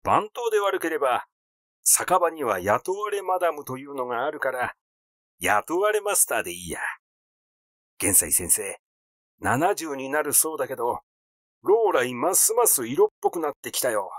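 A man voicing a rash, glib, excitable schemer in an audiobook, talking in a blunt, teasing, effusive way. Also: mid-range voice, normal speed, no echo, clean studio sound. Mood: excited, enthusiastic. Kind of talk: literary audiobook character dialogue.

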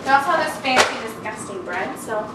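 A woman's voice: fairly high pitch, animated, brisk. A teenage girl chatting and teasing on a home cooking vlog, recorded in a kitchen by a far camera. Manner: giggly, teasing. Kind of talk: casual chat. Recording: very echoey kitchen from far off, loud noise.

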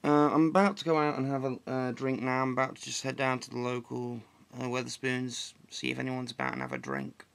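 Young man, croaky, rambling webcam vlog. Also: mid-range voice, lively intonation, a little fast, few pauses, fairly bright tone. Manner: rambling, hesitant, many ums and restarts. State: slightly croaky after flu. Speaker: vlogger. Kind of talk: casual solo vlog update. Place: dim living room indoors.

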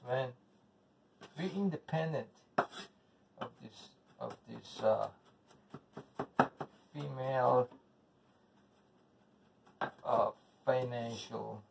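A slow-talking, gravelly man with a Spanish accent cooks in his home kitchen, rambling and cursing bitterly as he goes. The camera sits across the counter, so he sounds a little distant, with a faint hiss behind him. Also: a little high. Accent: Spanish-speaking, English as second language. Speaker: home cook vlogger. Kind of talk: casual cooking video with ranting. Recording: voice from off-mic with faint noise.

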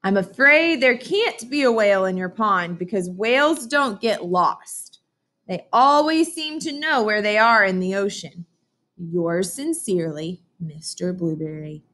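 A woman voicing a polite, patient male schoolteacher in a storybook, reading correcting letters in a measured, formal way. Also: medium pitch, expressive, a bit bright. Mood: patient, firm.

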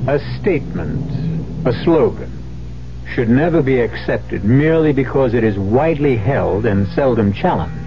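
Male mystery narrator, an actor, sardonic and suspenseful, measured delivery, vintage radio sound, soft music underneath. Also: medium pitch, close to an everyday voice. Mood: wry, ominous. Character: wry, ominous mystery story host. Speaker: host and narrator. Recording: muffled old radio broadcast, faint music.

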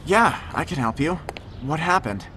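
A man's voice: fairly high pitch, expressive, moderate pace, crowd noise, close to an everyday voice. A man playing an easygoing, protective teenage boy in an audio drama, casual and conversational. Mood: protective concern. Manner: casual, hesitant, reassuring. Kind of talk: naturalistic audio drama acting.

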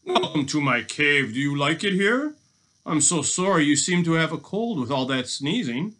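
A bright, forceful storybook robber, acted out by a man reading aloud. He jeers and gloats, putting on mock sweetness as he bullies his captive. Every phrase is pushed out with boastful emphasis. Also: mid-range voice, normal speed, pitched above the performer's own voice, natural voice. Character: jeering boastful male robber villain, gloating and bullying. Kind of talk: children's storybook voice acting.

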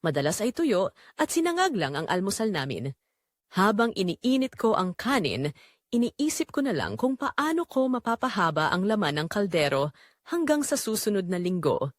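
A dry, close recording carries a woman acting as a poor, devoted mother in an audio drama. Her medium-pitched voice moves expressively up and down. She narrates in measured, earnest phrases, confiding like someone weighed down by guilt. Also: big pitch swings, dry recording, natural voice. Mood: earnest, burdened. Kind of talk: scripted dramatic first-person narration.

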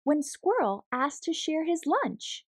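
A woman reading a children's picture book aloud in an expressive, deliberate way, pausing between phrases. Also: sits high, no echo, studio quality. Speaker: storybook reader.

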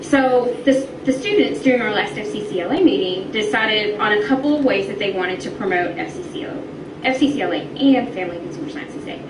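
A woman's voice: medium pitch, animated, fairly quick. A woman teacher explaining things in interview answers. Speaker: middle school teacher. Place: classroom, replayed on a projection screen. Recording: distant echoey re-recorded playback, noisy room.

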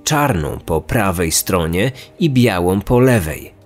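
A man's voice: low voice, lively intonation, slow pace. A man hosting a podcast, reading a scripted true-crime narration. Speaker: podcast host. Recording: music under the voice. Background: background music.

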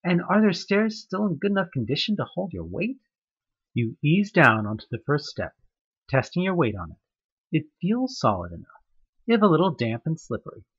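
A man's voice: medium pitch, breathy edge, muffled recording. Man narrating horror in second person. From United States. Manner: tense, dramatic storytelling with rising questions. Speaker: narrator of a fan audioplay.

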